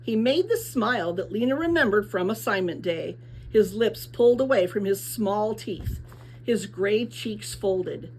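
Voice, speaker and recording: Lively woman reading a storybook aloud. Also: medium pitch, a little slow, a little hiss or hum, poor audio. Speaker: audiobook reader. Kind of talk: expressive scripted storybook narration.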